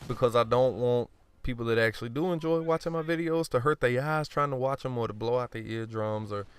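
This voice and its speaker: A man giving casual gameplay commentary, blunt and profane, rambling and joking at himself, often clearing his throat. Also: mid-range voice, fairly quick. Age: adult. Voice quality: smooth voice. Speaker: gaming commentator.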